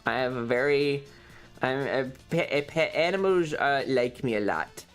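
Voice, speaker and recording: Halting man rambling casually over music. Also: mid-range voice. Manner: halting, wry, sprinkled with swearing; frequent throat clearing. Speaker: streamer. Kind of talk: casual rambling storytelling on a stream.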